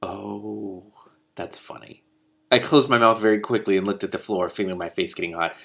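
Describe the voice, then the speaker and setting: A man reading a fantasy story aloud in an animated, informal way, now and then correcting himself. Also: lowish voice, normal speed, vocal fry. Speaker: reader and commentator. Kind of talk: expressive storybook read-aloud.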